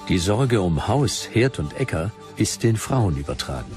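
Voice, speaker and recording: An ancient chronicler declaims reports for a documentary. The delivery swings widely in pitch yet stays measured, with music clearly underneath. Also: male voice, very animated, darkish tone. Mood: solemn, declamatory. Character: ancient chronicler quoting reports on barbarian customs. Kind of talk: dramatized documentary quotation.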